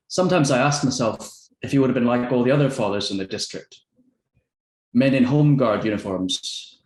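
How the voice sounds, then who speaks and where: Male moderator, middle pitch, echoey room, reads steady, kinda modest. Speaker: moderator and translator. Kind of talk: moderating a book talk, reading a translation. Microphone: video call.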